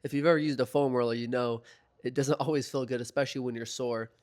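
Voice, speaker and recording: A young man in a laid-back, chatty voiceover, his voice slightly hoarse from a recent illness. He talks casually and keeps dropping in fillers as he walks through a stretching routine. His pitch sits in the middle range. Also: fast talker. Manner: relaxed, chatty, filler-heavy. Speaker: fitness vlogger. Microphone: voiceover mic.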